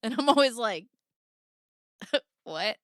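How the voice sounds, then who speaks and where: A woman's voice: fairly high pitch, lively intonation, slow pace, fairly bright tone, no room sound, studio quality. A young woman with a Midwestern accent hosting a casual livestream, reading her chat aloud, laughing often and swearing freely. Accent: Midwestern American. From American Midwest.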